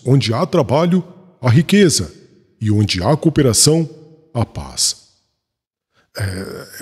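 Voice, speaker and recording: A resolute, zealous convert voiced by a man declaims earnestly in an audiobook drama. The husky voice moves widely up and down in pitch and rings with long hall reverb. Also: a little mellow.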